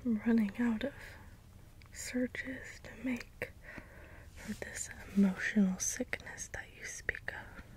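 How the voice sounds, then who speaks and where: A woman playing a gentle, puzzled caretaker robot in a soft, breathy ASMR role-play whisper, with halting, deliberate delivery. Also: fairly high pitch, rough recording. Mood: gentle, curious. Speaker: ASMR performer. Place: small dim indoor space with cloth backdrop. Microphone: close ASMR microphone.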